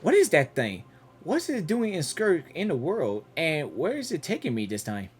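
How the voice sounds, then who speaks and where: A game reaction streamer giving casual commentary, halting and repeating words while thinking aloud. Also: medium pitch, huge pitch swings, faint music behind.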